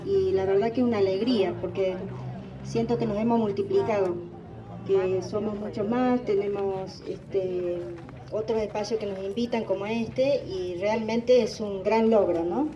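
A woman in her forties whose first language is Guarani, speaking as a guest at a gathering, halting, with many fillers and restarts. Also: medium pitch, steady tone, distant mic, dull audio, a crowd in the background. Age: 40s. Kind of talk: recorded address at a gathering.

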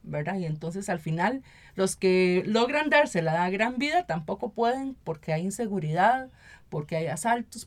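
An earnest woman with a Costa Rican accent speaking conversationally as an interview guest, often adding tag questions to seek agreement. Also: medium pitch. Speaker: activist and municipal council member, interview guest.

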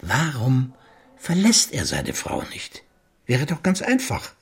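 A probing police detective in a radio play. He has a husky, mid-pitched voice with lively inflection, and talks in a dry, deliberate, ironic way. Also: full character voice. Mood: wry, musing. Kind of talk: German radio play acting.

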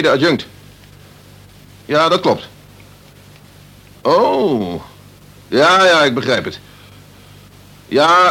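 A man's voice: medium pitch, sharp tone, husky, heavily acted. A man acting a dutiful, patient deputy prison governor in a radio play, formal and deferential. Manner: formal, deferential, dryly efficient.

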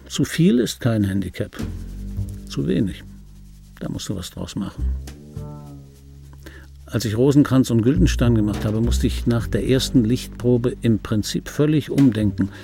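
An actor playing a middle-aged film dubbing actor in a radio play, reminiscing in a wry, pedantic, digressive way with a gravelly, husky voice. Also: male voice, fairly low pitch, warm tone. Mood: self-justifying. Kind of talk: radio play monologue, confiding first-person reminiscence. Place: dry radio drama studio.

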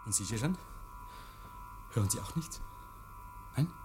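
An actor playing a nervous, guilty man in a 1950s radio play, feigning jovial innocence, stammering and repeating himself, hushed then agitated. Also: medium pitch, lively intonation, measured pace, over music, lightly acted. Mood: uneasy. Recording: vintage 1950s radio recording.

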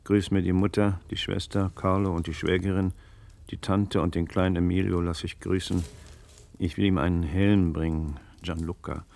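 An actor playing a troubled, learned magistrate in a German radio play, gravelly, with measured, brooding, literary speech. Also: male voice, low-pitched, level tone, darkish tone. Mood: reading aloud.

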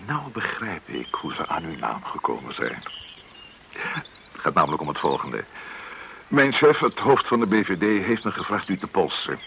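Deep, creaky-voiced courteous colonel. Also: male voice, sing-song, moderate pace, a bit bright, heavily acted. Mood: evasive, conceding. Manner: smooth-talking, official, politely evasive. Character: courteous, evasive colonel on security service business. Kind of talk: radio play acting. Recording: noisy old radio play recording.

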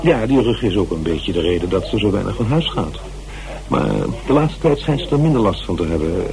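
A hesitant, talkative man in a radio drama. His voice is very low and his delivery halting and conversational, with clear crowd chatter behind him. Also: expressive, rough recording. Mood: hesitant, chatty. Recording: radio recording with background chatter.

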